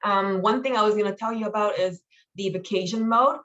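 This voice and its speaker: A woman leading an online training meeting, explaining steps informally in a casual, halting way over lo-fi call audio. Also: mid-range voice, a bit bright. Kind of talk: informal instructional walkthrough with slides.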